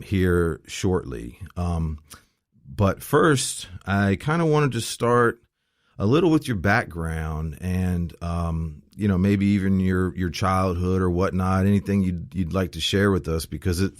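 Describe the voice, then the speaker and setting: A man's voice: deep voice, a little mellow, dry recording, clean studio sound. A man hosting an interview show, speaking hesitantly with many "um" and "uh" fillers and friendly affirmations. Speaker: show host.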